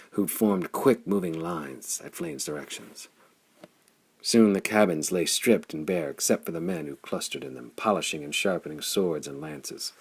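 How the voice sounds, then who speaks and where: Low, gravelly male audiobook narrator. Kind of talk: dramatic scripted narration of pulp adventure fiction.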